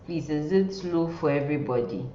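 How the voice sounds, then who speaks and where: A woman with a very low, mellow voice teaches an informal online class. She talks slowly and haltingly, often repeating herself. The sound is muffled and echoey, picked up by a computer microphone in a room. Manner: halting, repeating words and phrases. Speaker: nursing lecturer. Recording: muffled, roomy sound with faint noise.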